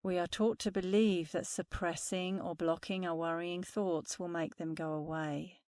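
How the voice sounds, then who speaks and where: A woman meditation instructor speaking softly and soothingly through a guided meditation. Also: on the low side, steady tone, dry recording, studio quality. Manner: slow, soothing, soft-spoken guidance.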